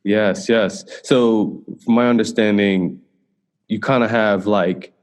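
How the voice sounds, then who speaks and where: A man's voice: lowish voice, fairly even intonation, takes it slowly, long reverb tail. A man from Bermuda hosting a podcast, asking questions in a casual interview style. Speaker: podcast host.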